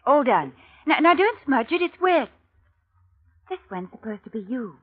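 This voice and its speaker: An actress playing a spirited, playful young English heiress in a 1950s radio drama. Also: female voice, high-pitched, big pitch swings, normal speed, breathy edge, full character voice. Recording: tinny old radio recording.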